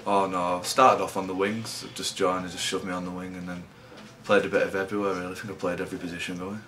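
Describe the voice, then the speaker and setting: A young man from England, a rugby league player giving low-key, halting interview answers. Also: little pitch movement, fairly bright tone, slight background noise.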